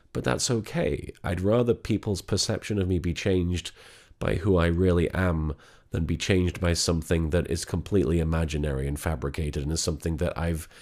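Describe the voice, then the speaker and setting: A man talking quietly and haltingly in a candid vlog, sick, with a gravelly voice and many restarts. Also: low voice. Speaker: vlogger.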